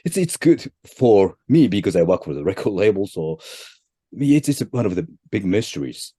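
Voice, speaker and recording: A Japanese man with an accent, answering interview questions in halting English, repeating words as he goes. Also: sing-song, mellow, no echo, studio quality. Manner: halting, repeats words, pitch jumps up at each new point. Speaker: band leader, interview guest.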